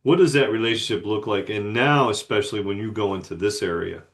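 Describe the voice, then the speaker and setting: A man's voice: fairly low pitch, fairly quick. A man co-hosting a podcast interview, speaking in a casual, conversational style. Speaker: podcast co-host.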